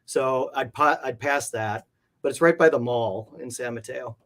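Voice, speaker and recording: A man's voice: medium pitch, normal speed, a bit bright. Man chatting informally as an interview guest. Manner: informal, meandering, frequent "like". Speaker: interview guest, comic art collector. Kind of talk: casual conversational storytelling.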